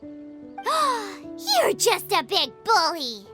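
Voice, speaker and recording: A tiny, childlike fairy sidekick from a video game, excitable and squeaky. The voice is extremely high and unhurried, leaping wildly in pitch as she exclaims. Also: faint music behind, heavily acted. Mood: indignant. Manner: exclamatory, refers to self in third person. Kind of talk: video game character dialogue.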